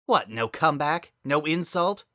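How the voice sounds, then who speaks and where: Man voicing a sharp, sarcastic angry pegasus mare. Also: on the high side, expressive, unhurried, pitched above the performer's own voice. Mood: angry, threatening. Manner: biting, sarcastic, confrontational. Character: angry, sarcastic female pegasus pony, bitter older sister. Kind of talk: dramatic story reading.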